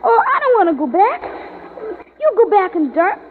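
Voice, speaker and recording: Worried little boy, super high voice, whiny n scoffing, goes up and down a lot, tinny old radio. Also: wildly swooping pitch, normal speed, full character voice. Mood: worried, insistent. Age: child. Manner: fretful, scoffing, slangy. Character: cautious, whiny young boy, anxious to go home. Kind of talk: 1950s radio drama acting. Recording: tinny hissy 1950s radio recording.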